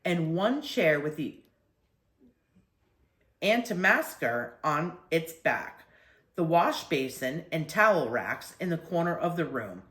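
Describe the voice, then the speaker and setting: An older woman narrates a children's book in an expressive storytelling style. Her voice is slightly low and moves through lively swings of pitch, with the room's echo around it. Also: slow. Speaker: storyteller reading to grandchildren. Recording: echoey room.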